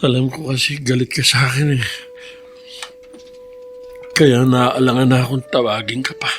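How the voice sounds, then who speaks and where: An actor playing a remorseful, troubled father in a Filipino radio drama, speaking in a halting, earnest, emotional way. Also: medium pitch, little pitch movement, fairly bright tone, slight background noise, natural voice. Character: remorseful adult father, troubled by a family secret.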